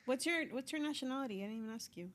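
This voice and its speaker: A woman's voice: sits high, animated, rapid-fire. A woman hosting a podcast in a casual, informal chat, often chiming in with short affirmations. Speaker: podcast host.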